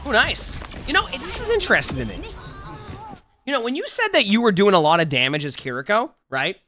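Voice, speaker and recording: A man streaming and coaching a player through gameplay, talking directly to them about their mistakes, a little hoarse from being under the weather. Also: sits high, wildly swooping pitch, a little fast, music in the background.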